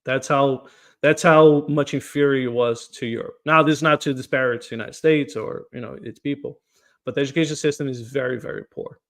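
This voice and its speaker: A man speaks as a candidate in a podcast interview, earnest and steady at a middle pitch. He often stumbles into his phrases by repeating a word before pressing his point. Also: a little fast. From Portugal. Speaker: political candidate.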